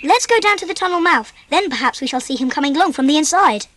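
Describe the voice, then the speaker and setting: Bold, high-pitched English child adventurer. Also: female voice, lively intonation, a bit bright, long reverb tail, a little hiss or hum. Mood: eager. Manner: brisk, bossy, no-nonsense. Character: bold, bossy child, eager young adventurer. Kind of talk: dramatised audiobook acting.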